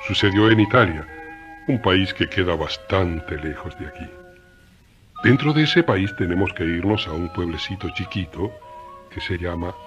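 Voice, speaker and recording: A man's voice: deep voice, animated, normal speed, highs cut off, music in the background. A man narrating a radio drama as a storyteller, folksy and chatty, speaking directly to listeners.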